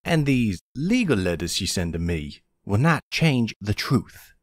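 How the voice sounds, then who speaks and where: A man's voice: on the low side, very animated, unhurried, no echo, clean studio sound, voice pushed up. A man voicing a self-righteous older preacher with an American Southern accent and a folksy, pompous, formal manner in an audio roleplay. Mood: indignant.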